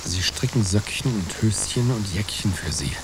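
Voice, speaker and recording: Male actor as pompous grumbling conspirator, deep voice, sardonic, satirical radio play, loud rainfall behind. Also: animated, normal speed, natural voice. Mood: smug indignation. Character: grumbling, self-righteous male conspirator lying in wait outdoors. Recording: loud rain noise behind voice.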